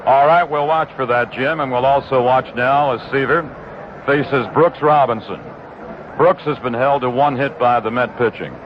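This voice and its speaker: An American man calling baseball play-by-play for radio in measured, descriptive short phrases. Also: mid-range voice. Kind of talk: live radio sports play-by-play. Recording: noisy dull vintage radio broadcast. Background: loud stadium ambience.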